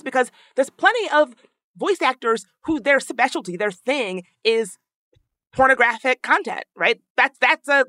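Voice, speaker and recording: A woman with a high voice, hosting a podcast in casual, earnest discussion, thinking aloud with frequent restarts. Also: a bit bright, dry recording. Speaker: podcast host.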